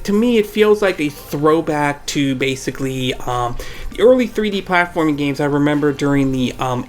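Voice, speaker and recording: A man with a cold doing a casual spoken video game review. He rambles, hesitates with "um" and keeps tacking "though" onto his sentences. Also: mid-range voice. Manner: frequent hesitant "um" fillers. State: has a cold.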